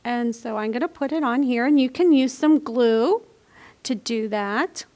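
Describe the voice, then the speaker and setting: High-voiced woman hosting children's storytime. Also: a little slow, a little mellow. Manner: simple, step-by-step talk addressed to young children. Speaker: library storytime host. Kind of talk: children's storytime reading and craft instruction.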